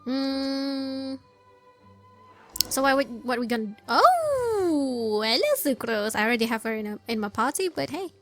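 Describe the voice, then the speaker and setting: A woman giving casual, expressive, rambling reaction commentary over a video game. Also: sits high, unhurried, faint music behind. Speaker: gameplay streamer, commentator.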